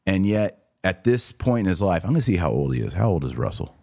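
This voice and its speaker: An American man in his forties with a low voice and a dry, close sound. He talks as a podcast host on a livestream, conversational and direct with his audience. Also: sing-song, fairly quick, creaky, warm tone, no echo. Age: 40s. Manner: conversational, addresses listeners directly. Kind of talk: casual livestream commentary, answering viewer comments.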